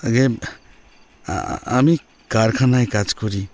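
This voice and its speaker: A man voicing a humble, deferential father who politely and haltingly pleads for a marriage match in an audio drama. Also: on the low side. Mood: humble pleading.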